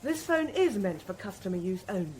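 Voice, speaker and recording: A woman's voice: mid-range voice, sing-song, a little fast, darkish tone, slight background noise, close to an everyday voice. A woman playing a bossy supermarket supervisor in a radio drama, officious and scolding. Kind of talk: full-cast radio drama acting.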